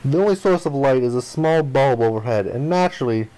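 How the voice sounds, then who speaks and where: A man's voice: mid-range voice, a little fast, low-quality recording. A man reading a visual novel's narration and dialogue aloud as a playthrough host, expressively acting out the lines. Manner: expressive, acts out the protagonist's lines.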